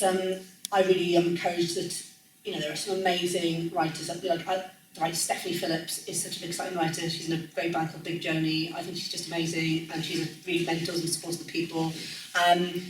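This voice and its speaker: A woman in her forties with a soft Welsh lilt talks loosely and warmly through her thoughts, stopping to restart and laughing now and then. Her voice sits a little low. She is recorded from some distance in a roomy, echoing space with faint background noise. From Wales. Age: 40s. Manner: chatty, frequent ums and self-corrections, laughs easily. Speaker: music journalist guest speaker. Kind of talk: casual conversational talk. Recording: echoey room, distant mic, faint noise.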